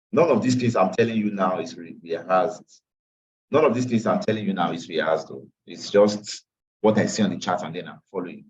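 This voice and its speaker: A tired man teaching a live online trading class, repeating phrases and slipping into Pidgin asides. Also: low-pitched. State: tired after a long day. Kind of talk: live online class walking through charts. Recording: distant, dull lo-fi call audio.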